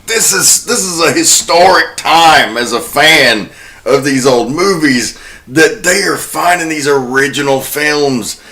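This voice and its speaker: A man's voice: a little high, a little slow, pushed and bright. A man hosting a horror film podcast, speaking in a wry, sardonic way. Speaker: podcast host. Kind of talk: horror film recap and casual discussion.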